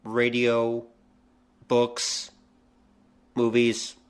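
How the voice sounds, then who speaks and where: A man's voice: medium pitch, monotone, drawn-out words, smooth. A middle-aged man co-hosting a podcast, chatting casually as he asks questions and comments. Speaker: podcast co-host. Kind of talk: casual conversation, asking and commenting.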